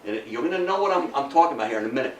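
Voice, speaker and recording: A man's voice: mid-range voice, expressive, rapid-fire. A man with an American accent giving a history lecture to an audience, speaking emphatically, repeating phrases for effect and putting questions to listeners. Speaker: guest lecturer. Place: indoor room in front of an audience. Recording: roomy, distant mic, faint background noise.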